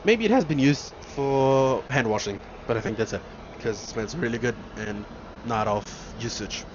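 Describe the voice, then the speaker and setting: A man's voice: medium pitch, normal speed. A man giving a casual, rambling first-person travel review, thinking aloud inside an airliner cabin. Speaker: travel vlogger reviewing a flight. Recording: muffled with loud aircraft cabin noise.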